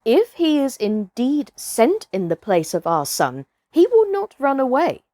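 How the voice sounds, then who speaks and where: A woman plays a village husband in a storybook, calm and practical. The words are measured and old-fashioned, delivered slowly in a fairly high voice. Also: no echo, studio quality. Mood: calm reasoning.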